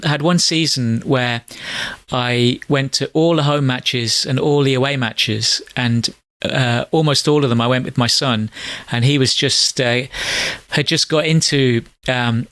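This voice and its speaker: A man's voice: mid-range voice, fairly bright tone, no room sound. A middle-aged man hosting a podcast over a call, chatting casually in a rambling, hesitant way with many fillers. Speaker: podcast host. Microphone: call audio.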